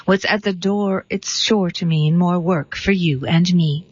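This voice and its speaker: A man's voice: high-pitched, steady tone, measured pace, no echo. A woman reading grumbling young Ham. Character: Ham, a gruff grumbling young son of Noah. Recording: dry, dull lo-fi sound.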